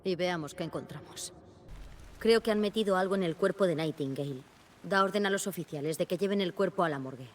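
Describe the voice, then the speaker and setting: An actress playing a composed, sharp female FBI agent in a dubbed video game, speaking in a measured, businesslike, analytical way. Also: fairly low pitch, slight background noise, lightly acted. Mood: focused, analytical. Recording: music behind the voice.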